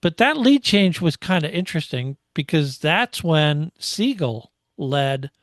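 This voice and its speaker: A man's voice: fairly high pitch, very animated, measured pace, dry recording, clean studio sound. A man co-hosting a sports podcast, recapping events in a casual, conversational way with tag questions. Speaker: motorsport podcast co-host. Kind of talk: casual race recap discussion.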